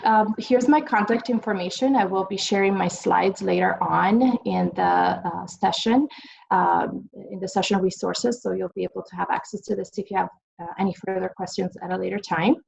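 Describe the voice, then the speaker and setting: Woman with a gravelly voice. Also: medium pitch, steady tone, normal speed. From Italy. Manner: frequent ums and uhs, gentle pace. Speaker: presenter. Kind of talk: webinar presentation. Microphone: video call. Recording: dull lo-fi video call audio.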